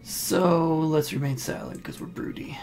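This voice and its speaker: A man's voice: a little high, fairly even intonation, unhurried, vocal fry. A man hosting a casual let's play, commenting in an offhand, flippant way. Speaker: gaming host. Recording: noisy voice-over mixed with game sound. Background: video game sound.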